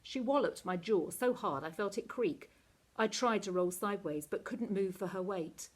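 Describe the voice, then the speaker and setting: A woman narrating a children's novel aloud in an expressive, animated teacher's reading style. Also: medium pitch, moderate pace, husky.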